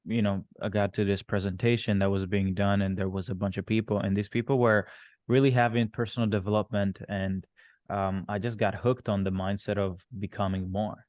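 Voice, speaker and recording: A man with a fairly low voice, recorded dry with little room sound. He grew up in Rwanda and then Canada, and he talks casually as an interview guest, often circling back to rephrase his points. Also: little pitch movement, fairly quick, a little mellow, no echo. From born in Rwanda, moved to Canada at thirteen. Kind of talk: casual interview, telling his own story.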